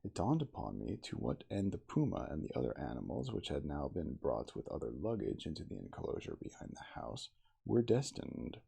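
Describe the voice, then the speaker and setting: A man giving a theatrical, deliberate ASMR storybook reading as the narrator of a classic novel. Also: very deep voice, huge pitch swings, vocal fry, warm tone, slightly rough.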